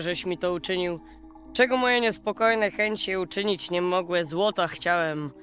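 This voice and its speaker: A woman voicing a grave male king in a storybook, with a measured, stately delivery. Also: on the low side, a bit bright.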